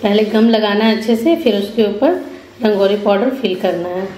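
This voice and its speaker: A woman's voice: medium pitch, normal speed, mellow. A woman giving an informal step-by-step craft tutorial as a voice-over, instructing viewers directly. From India. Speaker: rangoli artist giving a tutorial. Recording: roomy echo with faint background noise.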